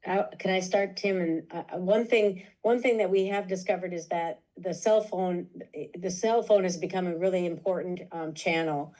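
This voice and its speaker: A woman's voice: on the low side, steady tone, normal speed, few pauses, warm tone, rough recording. A woman lecturer on a video call, explaining research and illustrating her points with a story. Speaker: guest lecturer. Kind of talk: academic lecture and Q&A answer.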